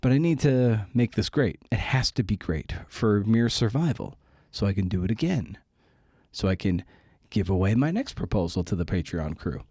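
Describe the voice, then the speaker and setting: A man's voice: lowish voice, animated, normal speed, warm tone, breathy edge, dry recording, clean studio sound. A man hosting a podcast, talking casually and candidly about writing in a wry, self-deprecating way. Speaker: podcast host and writer.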